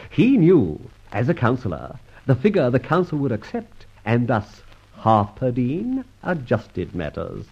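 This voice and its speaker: Radio serial: suave, mock-courteous masked rogue, hugely animated delivery, slightly husky, pompous and teasing. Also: male voice, medium pitch, measured pace, heavily acted. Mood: smug, explaining. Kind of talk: old radio serial acting. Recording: dull old radio recording.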